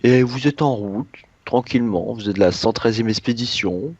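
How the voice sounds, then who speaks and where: A man acting as game master of a role-playing game, explaining the scenario haltingly. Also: medium pitch, a little mellow, husky, dry recording, lo-fi sound.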